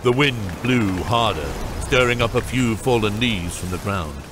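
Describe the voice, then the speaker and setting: A man's voice: lowish voice, animated, normal speed, noisy background. A man narrating a fantasy audiobook from a script as its storyteller.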